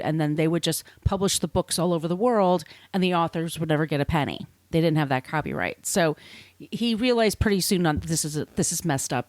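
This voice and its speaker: A woman's voice: sits low, no echo. An American woman hosting a podcast, telling a story from notes conversationally, with informal, wry asides. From United States. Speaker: podcast host.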